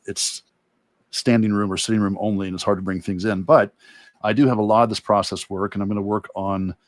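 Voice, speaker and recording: Low-voiced man talking through his craft. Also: little pitch movement, a little fast, no room sound. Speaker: guest illustrator and art teacher. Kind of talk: casual explanatory livestream talk.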